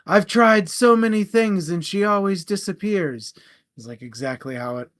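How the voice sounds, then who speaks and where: Bright-voiced man in a casual book chat. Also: sits high, moderate pace. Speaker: book podcast co-host.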